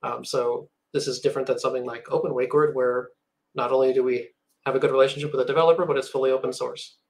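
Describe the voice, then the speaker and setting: A man co-presenting a software stream, explaining technical points in a measured way. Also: mid-range voice, steady tone, slight background noise. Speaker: developer co-presenter.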